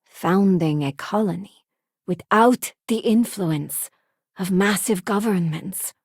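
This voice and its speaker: A woman's voice: mid-range voice, slow, breathy edge, no room sound, studio quality. An actress playing an earnest, loyal and idealistic young woman crewmate in a space video game, speaking conversationally. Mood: earnest, reflective.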